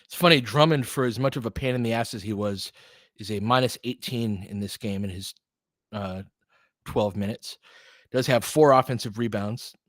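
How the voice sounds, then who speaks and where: A man doing live basketball play-by-play and analysis as a host, informal and openly partisan, excitable on big plays. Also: fairly low pitch, normal speed, no echo, studio quality.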